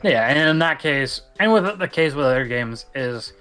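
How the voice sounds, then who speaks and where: A man's voice: medium pitch, animated, normal speed, sharp tone, clean tone, soft background music. A young man co-hosting a casual podcast, rambling and emphatic as he talks at length. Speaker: podcast co-host. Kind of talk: casual chat.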